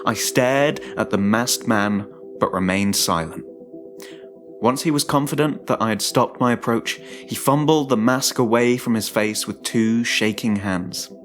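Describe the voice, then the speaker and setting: A man giving a dramatic, suspenseful first-person narration of a horror story, in a deliberate manner. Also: on the low side. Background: music.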